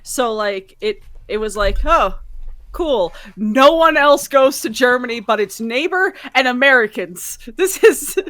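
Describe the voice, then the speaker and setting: A woman with a fairly high, very bright and forceful voice co-hosts a casual podcast. She talks in a jokey, sweary banter and likes to act out little anecdotes as she goes. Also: unhurried. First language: French-speaking ability alongside English. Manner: sweary, jokey, animated storytelling with acted quotes. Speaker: podcast co-host.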